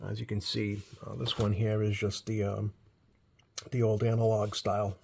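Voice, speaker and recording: A man hosting a hobby video with casual, unscripted commentary, talking in a halting, rambling way and often correcting himself. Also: lowish voice, completely flat delivery, gravelly, darkish tone. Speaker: host of a radio hobby video.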